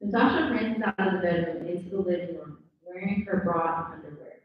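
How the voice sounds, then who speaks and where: A woman's voice: on the low side, a little fast. A woman narrating stage directions at a live table read, heard from a distance in a dull, echoey room. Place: indoor event room, live stream.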